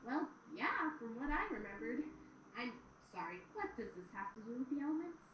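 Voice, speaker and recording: A woman's voice: a little high, very animated, brisk, fairly bright tone. A woman voicing a young pony princess, an earnest, inquisitive and slightly awkward student in an audio drama. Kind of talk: fan audio drama acting. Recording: echoey, distant, faintly noisy playback.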